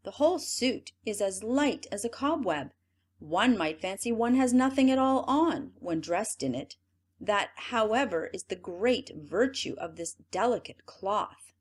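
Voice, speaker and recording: A woman voicing crafty male swindler weavers in a storybook, with smooth, showy salesman patter. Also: medium pitch, lively intonation, measured pace.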